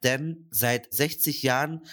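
A man's voice: medium pitch, slow pace, a bit bright. A man with a head cold, co-hosting a podcast, talking casually and with energy. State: has a cold. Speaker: podcast co-host.

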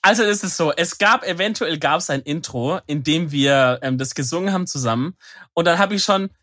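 A man's voice: on the high side, fairly quick, bright, forceful tone, no room sound, studio quality. A young man co-hosting a podcast, slightly nasal from a cold, telling rambling stories full of slang and fillers. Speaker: podcast co-host. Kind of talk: casual chat.

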